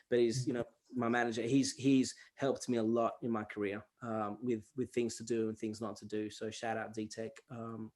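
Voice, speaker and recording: A man, a hip-hop artist who grew up speaking Gujarati, talking in a casual interview, telling stories animatedly and with many restarts. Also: mid-range voice. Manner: animated storytelling, acts out quoted exchanges; frequent fillers and restarts.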